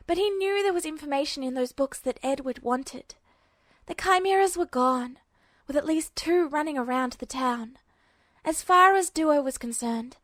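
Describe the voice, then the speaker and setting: A woman narrates fiction from a script in the manner of a home-made audiobook. Her voice is high. The recording is dry, with hardly any room sound. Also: no echo.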